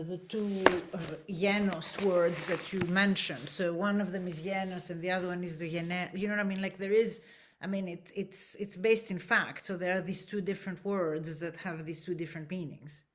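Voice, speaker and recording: A woman whose first language is Greek, speaking thoughtfully in a class discussion and searching for her words. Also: fairly low pitch. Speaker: student.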